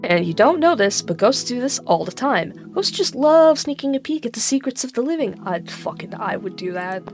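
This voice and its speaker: A woman's voice: on the high side, big pitch swings, normal speed, a little mellow. A woman reading an interactive gamebook aloud, breaking off into chatty, irreverent asides where she swears and laughs at herself. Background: background music.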